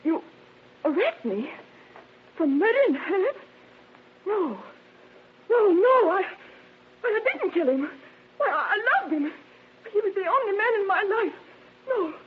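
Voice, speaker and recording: A very high, swooping woman's voice on a tinny old radio recording. a distraught wife accused in a crime drama, breathless, stammering and pleading. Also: sing-song, measured pace, pauses often, slight background noise, full character voice. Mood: desperate pleading. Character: distraught accused wife protesting her innocence in murder case.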